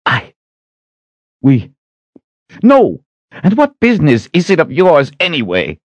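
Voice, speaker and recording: A man voicing a defiant, anxious young woman in an audiobook, halting and protesting. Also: medium pitch, animated, no echo, pitched above the performer's own voice. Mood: flustered defiance.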